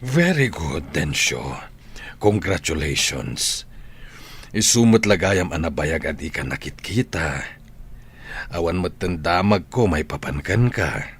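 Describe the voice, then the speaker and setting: An actor playing an ailing, gracious older retired teacher with an Ilocano accent, speaking gently and gratefully in a radio drama. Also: male voice, sits low, big pitch swings, takes it slowly, husky, exaggerated voice. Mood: warm, modest.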